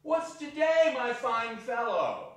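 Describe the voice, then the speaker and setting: A man's voice: very high voice, sing-song, slow, full character voice. A man playing an overjoyed, reformed old man in an exuberant, declamatory stage reading of a Victorian story. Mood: excited delight. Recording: echoey hall, distant microphone.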